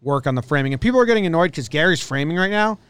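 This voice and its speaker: A man's voice: a little high, lively intonation, very fast, fairly bright tone, smooth, no room sound. A man hosting a sports podcast in a casual style, venting bluntly and swearing freely.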